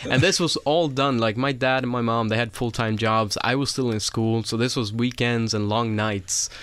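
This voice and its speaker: A young man from Sweden, a racing driver guest in a studio, telling his story in relaxed casual chat with frequent ums and little laughs. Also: medium pitch, normal speed, clean tone, no room sound.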